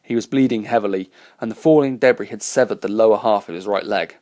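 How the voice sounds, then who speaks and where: A man's voice: on the low side, quick pace, darkish tone, no room sound. Man reading a sci-fi story aloud. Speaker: reader and narrator.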